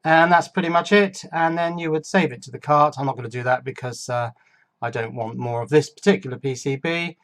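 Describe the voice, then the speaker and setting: A man's voice: mid-range voice, a little fast, fairly bright tone. A man hosting an electronics build video, giving casual, unscripted hands-on commentary and thinking aloud as he works. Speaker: host of an electronics build channel.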